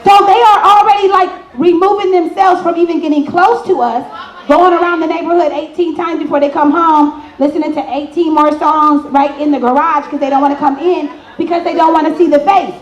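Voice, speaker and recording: High-voiced woman hosting in an echoey room. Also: brisk. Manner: animated, direct, asks the room to confirm. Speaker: host of a relationship therapy talk show. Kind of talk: lively advice talk to an audience. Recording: roomy, echoing live event space.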